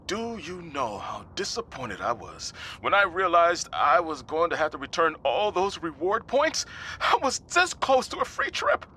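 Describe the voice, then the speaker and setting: An indignant middle-aged dad in a video game cutscene. His voice sits high and cuts through sharply. He rambles with comic, petty outrage, his pitch leaping about. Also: huge pitch swings, a little slow, pushed and bright, breathy edge, heavily acted. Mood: exasperated.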